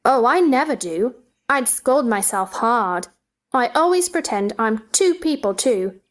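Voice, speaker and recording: Woman playing an eager, high-voiced little girl. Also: lively intonation, a little slow. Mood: chatty, playful. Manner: eager, childlike, inquisitive. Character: chatty, curious, imaginative little girl. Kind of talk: storybook play reading.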